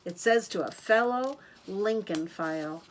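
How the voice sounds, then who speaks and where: A woman voicing a kindly, bookish old man in a picture book she reads aloud, in a gentle, warm reading voice. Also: medium pitch, unhurried, a little hiss or hum, lightly acted.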